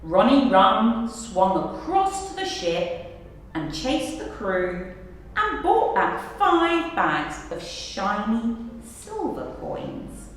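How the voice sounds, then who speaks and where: A woman reads a children's story aloud like a teacher, very slowly and expressively. Her pitch swings widely. She sounds distant in a very echoey hall with faint noise. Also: huge pitch swings.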